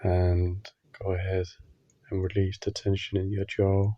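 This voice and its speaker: A man leading a guided meditation, giving gentle instructions in short phrases with long pauses. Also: low voice, completely flat delivery, no room sound, highs cut off.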